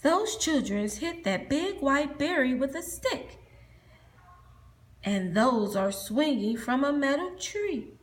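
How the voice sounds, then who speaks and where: A woman's voice: sits high, sing-song, slow, exaggerated voice. A woman voicing a naive, literal-minded young boy swamp monster who sounds innocent and puzzled in a storybook.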